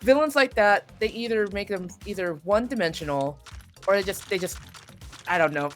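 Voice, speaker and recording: A woman chatting casually as an interview guest, excitable, effusive and expressive in the way she talks. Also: medium pitch, a little fast, faint music behind. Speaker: voice actor guest being interviewed.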